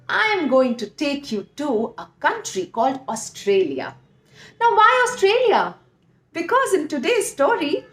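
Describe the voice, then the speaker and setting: A woman telling a story to young children, expressive and speaking directly to her listeners. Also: high-pitched. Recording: roomy echo.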